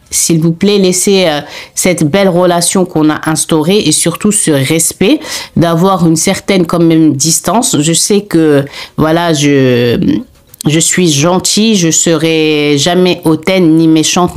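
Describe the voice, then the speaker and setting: A woman's voice: sits low, a little mellow. A woman hosting a horror story channel, talking straight to her viewers in an earnest, hesitant way, full of fillers and restarts, and firm when she asks them to stop. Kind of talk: casual direct-to-camera monologue.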